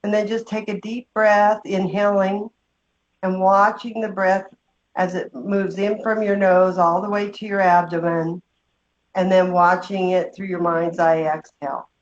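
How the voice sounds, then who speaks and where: A woman leading a guided meditation, giving slow, gentle instructions with long pauses. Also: medium pitch, flat delivery. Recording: dull, muffled lo-fi sound.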